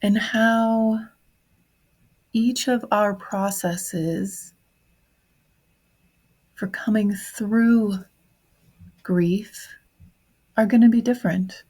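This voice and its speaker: Slow, husky woman guiding a meditation. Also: medium pitch, steady tone, poor audio. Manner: gentle, unhurried phrasing with long pauses. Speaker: guided meditation host. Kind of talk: guided meditation on grief, slow and reflective.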